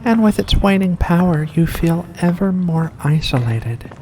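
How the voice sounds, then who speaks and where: A performer voicing a mysterious, seductive vampire in a slow, deliberate ASMR roleplay, courteous and teasing. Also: medium pitch, lively intonation, darkish tone, close to an everyday voice, rough recording. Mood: eerie, hypnotic. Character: seductive mysterious vampire host luring in a guest. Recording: faint background noise.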